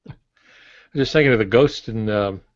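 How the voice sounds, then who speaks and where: Older male co-host, sixties, American. Low voice, dry wit, close lo-fi video call sound. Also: moderate pace, dry recording. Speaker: podcast co-host, novelist. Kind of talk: casual storytelling chat. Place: home office on a video call. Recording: dull video call audio.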